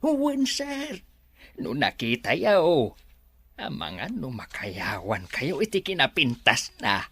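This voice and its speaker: A husky, fawning underling. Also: male voice, medium pitch, wildly swooping pitch, takes it slowly, a bit bright, heavily acted. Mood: fawning, excited. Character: fawning, eager underling reporting to the boss. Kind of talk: radio drama acting.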